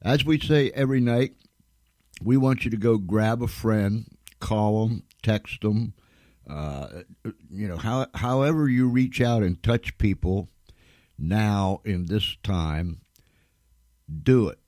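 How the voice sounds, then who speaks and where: Slow, low-voiced man hosting radio. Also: animated, warm tone, dry recording. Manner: folksy, colloquial, repeats words for emphasis. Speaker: radio show host. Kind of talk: folksy radio show hosting.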